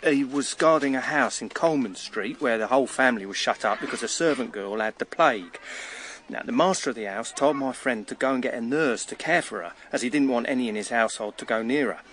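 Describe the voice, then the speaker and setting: A man's voice: medium pitch, a little fast, a bit bright, lightly acted. An actor playing a talkative, matter-of-fact watchman in a radio drama, telling things in a plain-spoken way. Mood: eager storytelling. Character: matter-of-fact, talkative working man, a night-and-day watchman.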